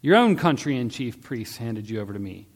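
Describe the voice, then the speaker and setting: A man voicing a commanding, exasperated male Roman governor in a dramatic reading, with declamatory, forceful questioning. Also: mid-range voice, sing-song, a little fast. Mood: indignant, probing. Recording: long hall-like reverb.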